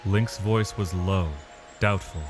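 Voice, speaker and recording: A man delivering dramatic, measured literary narration as the storyteller of a scripted fantasy tale. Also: low-pitched, steady tone. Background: music.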